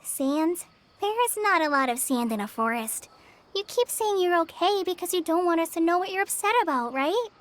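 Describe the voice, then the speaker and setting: A woman voicing an earnest, kindly young trainee forest ranger in an anime game, gentle and reassuring. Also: very high-pitched, animated, moderate pace, slight background noise. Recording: music under the voice.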